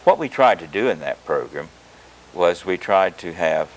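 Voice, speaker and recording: An older man from Oklahoma, a retired education professor, answering interview questions in a measured, hesitant way with many filler pauses. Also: sits low, animated, low-quality recording.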